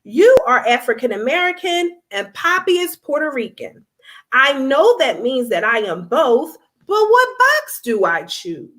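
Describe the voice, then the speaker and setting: A woman plays a young schoolgirl from a children's storybook, sensitive and earnest. The voice is fairly high, with lively swings in pitch and a slow, careful pace. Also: heavily acted. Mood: upset, confused.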